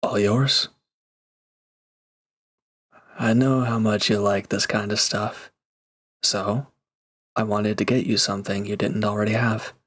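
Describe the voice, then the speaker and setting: Man acting low, even-voiced reformed villain mercenary. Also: moderate pace. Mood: sheepish, grateful. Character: reformed male villain turned mercenary, grateful, awkwardly sincere. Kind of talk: intimate roleplay audio drama monologue.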